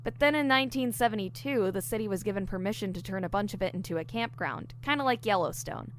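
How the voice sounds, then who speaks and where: A woman's voice: mid-range voice, quick pace, slight background noise, natural voice. A woman playing an eager, diligent newly hired investigator in an audio drama, explaining things earnestly. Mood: assured, explanatory. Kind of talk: audio drama dialogue, explaining case theories.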